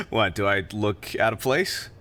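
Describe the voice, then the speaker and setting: Guy doing a fake rich businessman, kinda low voice, super animated, polite and wordy, radio play. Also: heavily acted. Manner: formal, wordy, polite, hesitant openings. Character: sharply dressed drifter posing as polished New York businessman. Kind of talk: audio drama acting.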